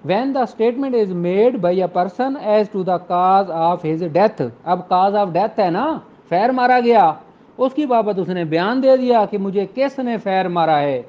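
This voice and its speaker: A man giving an explanatory lecture in a didactic way, mixing in slowly and deliberately read English phrases. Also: high-pitched, expressive, lo-fi sound. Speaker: law lecturer. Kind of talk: explanatory law lecture with examples, code-switching into English.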